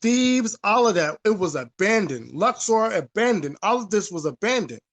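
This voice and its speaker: A man with a high-pitched voice talks in a casual, unscripted discussion. He is emphatic and informal, often repeating his phrases and using some salty language. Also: very animated, normal speed, a bit bright.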